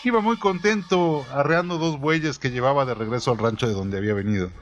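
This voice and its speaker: A man reading a first-person story aloud in a steady storytelling manner, his voice falling low at the ends of phrases. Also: a little fast, lo-fi sound. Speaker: narrator. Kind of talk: scripted audiobook narration.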